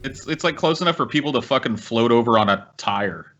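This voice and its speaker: A man's voice: mid-range voice, expressive, fairly quick, fairly bright tone. A man co-hosting a podcast, talking casually and bluntly with plenty of swearing, on a slightly muffled recording. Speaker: podcast co-host. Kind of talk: casual chat.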